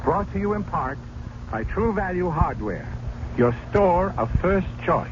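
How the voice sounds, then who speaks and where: A man's voice: high-pitched, sing-song, unhurried. A man announcing a sponsor in old-time radio style. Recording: dull, noisy old radio broadcast.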